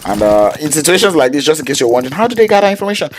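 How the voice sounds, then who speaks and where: A man, a Yoruba speaker, calling an esports match play-by-play in an excitable, slangy way. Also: on the high side, racing, a little hiss or hum. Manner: excitable, slangy, jokes with chat. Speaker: esports caster.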